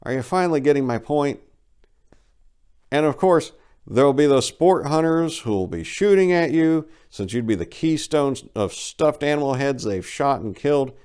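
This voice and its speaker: A man's voice: on the high side, animated, moderate pace, darkish tone, clear, resonant tone. A man giving a dramatic reading of a first-person short story, with a theatrical, exasperated delivery. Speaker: story reader.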